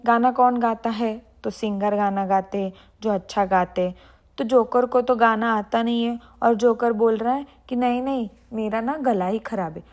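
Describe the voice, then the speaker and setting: Slow, patient woman teacher. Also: mid-range voice. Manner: slow, repeats sentences, asks pupils questions. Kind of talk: explaining Hindi idioms to children.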